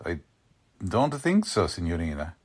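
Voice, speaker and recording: A man's voice: sits low, big pitch swings, unhurried, a little mellow, slightly rough, exaggerated voice. An actor playing a humble, grieving old Italian father with an accent and broken English, in a radio drama. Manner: broken English, resigned, philosophical. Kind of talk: 1940s-style radio drama acting.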